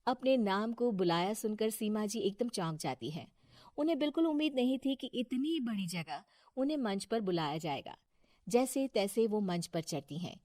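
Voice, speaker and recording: A woman narrates a scripted cartoon moral story. Her pitch is average for a woman, and the recording is dry and close, with no room around it. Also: lively intonation, moderate pace, mellow, dry recording.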